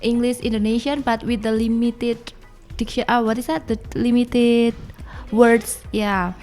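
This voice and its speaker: A young Japanese-native woman teaching English, speaking in a radio interview and often pausing to search for words. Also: fairly high pitch, flat delivery, darkish tone. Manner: searches for words, pauses mid-sentence. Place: radio studio.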